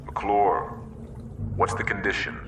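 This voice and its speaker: A distant, echoing, stern naval officer. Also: male voice, lowish voice, flat delivery, fairly bright tone. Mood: methodical. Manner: deliberate, probing commands and questions. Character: stern, insistent naval officer directing a diver. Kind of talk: radio drama acting. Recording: echoing, distant, noisy radio drama sound.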